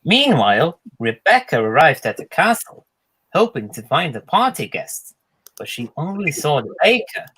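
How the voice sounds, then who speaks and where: A man's voice: fairly high pitch, very animated, moderate pace, fairly bright tone, breathy edge, low-quality recording. A man reading a children's storybook aloud as a narrator. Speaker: storybook reader.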